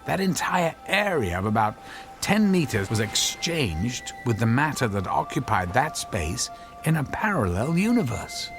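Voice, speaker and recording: Anxious scientist husband, radio drama, lively intonation, stammering explanations, music underneath. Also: male voice, medium pitch, measured pace. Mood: earnest, explanatory. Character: earnest, anxious physicist husband explaining his invention.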